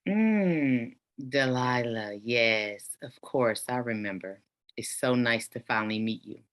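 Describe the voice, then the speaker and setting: A woman playing an elderly, courteous but bossy and sinister grandmother in a table read, with a slow, commanding manner. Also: rumbling low. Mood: gracious. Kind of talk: virtual table read acting.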